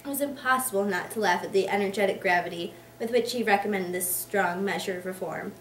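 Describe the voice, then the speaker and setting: A woman's voice: mid-range voice, a little fast, fairly bright tone. A young woman in her teens, a student, giving a scripted literary reading as narrator. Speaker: student reader. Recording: echoey room, distant mic, faint noise.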